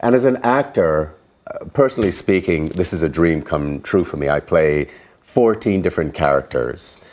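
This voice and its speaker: A man, a stage actor, explaining his craft in a measured, thoughtful way during an interview. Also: low voice, lively intonation, a little mellow. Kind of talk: casual interview chat.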